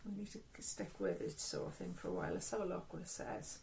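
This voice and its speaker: A woman's voice: on the low side, huge pitch swings, a little fast, creaky, a little mellow, a little hiss or hum. A woman with a Welsh accent, giving an earnest, rambling spiritual reading in a casual, reflective way. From Wales. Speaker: spiritual reader. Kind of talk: casual spiritual talk to camera.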